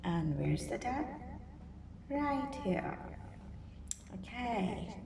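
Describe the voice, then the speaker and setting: A woman reading a picture book aloud to young children, expressively, pausing to think aloud and ask them simple questions. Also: fairly high pitch, big pitch swings. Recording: roomy, distant mic, clear background noise.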